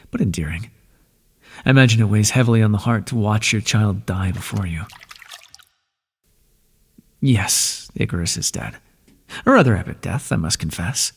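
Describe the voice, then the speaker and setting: A man voicing an ancient god of the underworld in an ASMR roleplay, speaking in a soft, breathy, measured way and reassuring the listener tenderly. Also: on the low side, big-room sound, full character voice.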